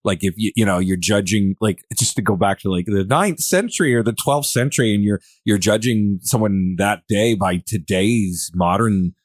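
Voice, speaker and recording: A man's voice: lowish voice, normal speed, few pauses, dry recording, studio quality. A man hosting a podcast in casual discussion, rambling and searching for words as he thinks aloud. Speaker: podcast host.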